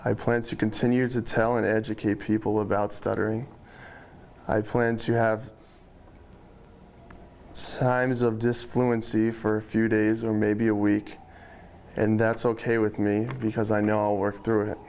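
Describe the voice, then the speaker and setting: A young man giving a prepared speech to friends and family, speaking slowly and deliberately in a controlled way. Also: lowish voice, level tone, darkish tone, slight background noise. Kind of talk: prepared speech read to an audience. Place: room with a small audience of friends and family.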